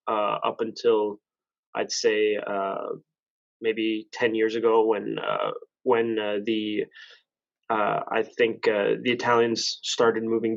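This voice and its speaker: A man's voice: mid-range voice, muffled recording. A man in his twenties from Canada, chatting as a podcast guest, rambling and correcting himself as he goes, laughing at himself now and then. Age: 20s. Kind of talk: casual chat.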